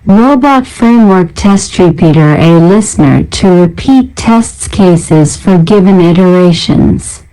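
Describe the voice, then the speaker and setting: Female narrator, lowish smooth voice, unhurried scripted read-out of technical names and short descriptions. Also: animated, mellow, rough recording. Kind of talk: scripted narration, reading out a software library list.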